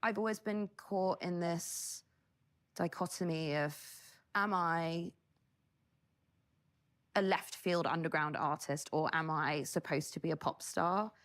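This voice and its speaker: A British woman giving a slow, thoughtful interview answer, pausing as she searches for her words. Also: fairly low pitch, fairly bright tone. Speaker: interviewed musician in a played clip.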